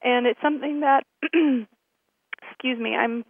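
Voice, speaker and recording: Hoarse woman hosting on a phone line. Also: high voice, steady tone, slow pace, dry recording. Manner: friendly, filler-heavy announcements. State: hoarse from a cold. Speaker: host. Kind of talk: radio show hosting. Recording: thin phone-line sound.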